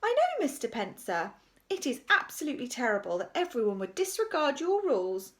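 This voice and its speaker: A woman's voice: high voice, wildly swooping pitch, fairly quick, fairly bright tone, pitched above the performer's own voice, exaggerated voice. A woman voicing a cheeky, slyly polite schoolgirl in a children's storybook, with exaggerated mock innocence. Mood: mock innocent. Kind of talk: children's storybook read aloud.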